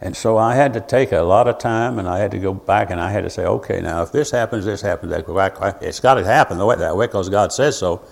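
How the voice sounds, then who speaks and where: Older male preacher, lowish voice, informal and meandering Bible teaching with frequent asides. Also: gravelly. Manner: rambling, folksy, halting, full of asides and self-told anecdotes. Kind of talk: informal sermon to a congregation.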